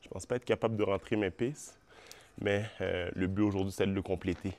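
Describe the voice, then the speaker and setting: A man's voice: deep voice, fairly even intonation, brisk. A man with a Quebec French accent vlogging to his phone while running outdoors, sick and out of breath. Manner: talking to the camera mid-run, with English slang mixed in. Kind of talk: casual running vlog. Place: outdoors on a road. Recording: loud outdoor noise.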